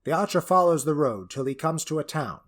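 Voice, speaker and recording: A man's voice: fairly high pitch, lively intonation, fairly quick, fairly bright tone. A man narrating a scripted fantasy story as its storyteller.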